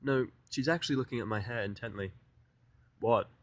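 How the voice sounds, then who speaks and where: A male narrator reads a first-person story from a script. His voice sits at a medium pitch, and the recording is dry and free of room sound. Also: fast, no echo. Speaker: fan fiction reader and narrator.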